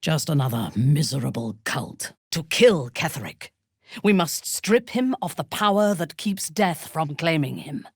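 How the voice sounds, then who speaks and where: A woman playing a ruthless dark-elf warrior priestess in a video game, speaking with formal, imperious menace. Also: sits low, animated, measured pace, husky, dry recording, clean studio sound, heavily acted. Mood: stern, commanding. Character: ruthless, commanding female dark-elf warrior priestess, coldly devoted lover. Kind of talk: video game character dialogue.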